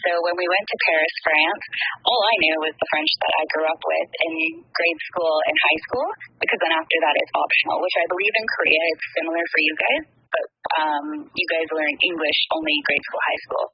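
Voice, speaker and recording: A woman's voice: deep voice, expressive, a little fast, breathy edge. A young Canadian woman chatting as an interview guest, full of ums and laughs, talking over a call from a train. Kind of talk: casual interview chat. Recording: muffled lo-fi call audio.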